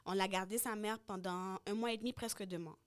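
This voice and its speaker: A woman's voice: mid-range voice, fairly even intonation, brisk. A young woman from Canada, a guest in a casual podcast chat telling a personal story with quoted dialogue. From Canada, schooled in Haiti.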